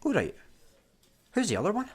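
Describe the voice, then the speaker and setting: An actor with a Scottish accent playing a grumpy, sarcastic space busker in a radio sitcom. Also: male voice, high voice, fairly quick, husky, exaggerated voice. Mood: awkward. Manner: irritable, deadpan sarcasm.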